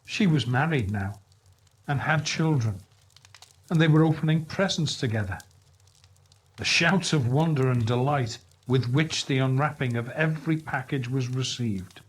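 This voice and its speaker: Slow, husky male storyteller. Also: mid-range voice, low-quality recording. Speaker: narrator, story reader. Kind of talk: scripted storybook narration.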